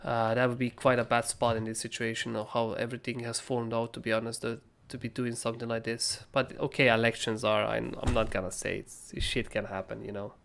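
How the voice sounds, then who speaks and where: A young man with a hoarse, raspy voice, casually talking through trading charts as a livestream host, rambling and swearing freely. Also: mid-range voice, level tone, a little fast, few pauses, fairly bright tone, slight background noise. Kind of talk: casual live chart analysis.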